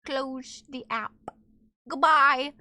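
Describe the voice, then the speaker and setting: A woman streamer chatting casually with her viewers in an animated, teasing way. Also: high voice, very slow, no room sound. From not from Japan. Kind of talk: casual live-stream chat.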